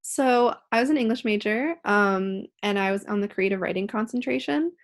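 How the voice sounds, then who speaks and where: A young American woman speaks at a medium pitch. She answers as an interview guest, explaining her work in a conversational way. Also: moderate pace. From Pittsburgh, United States. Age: 20s.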